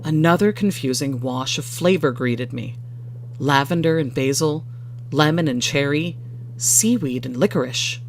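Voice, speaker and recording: A storyteller reading a first-person short story in a measured, expressive way. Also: medium pitch, a little hiss or hum.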